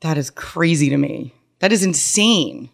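A woman co-hosting a casual podcast chat, with a low voice, swearing freely and laughing often as she tells stories. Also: expressive, unhurried, gravelly.

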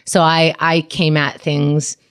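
A woman's voice: deep voice, level tone, takes it slowly, smooth. A woman co-hosting a casual podcast chat, telling personal stories in a candid, reflective way with blunt asides.

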